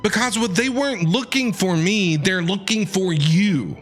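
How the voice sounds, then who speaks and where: A man streaming a video game, reacting to the story with casual, joking, expressive commentary. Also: sits high, measured pace, soft background music.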